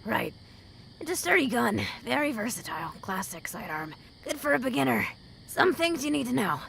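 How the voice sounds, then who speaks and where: Gravelly, gruff wasteland woman mentor, played by an actress. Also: on the high side, big pitch swings, moderate pace, a bit bright, a little hiss or hum, exaggerated voice. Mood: instructing. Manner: sardonic, blunt, commanding. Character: gruff, hot-tempered, battle-hardened wasteland woman mentor. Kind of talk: audio drama acting.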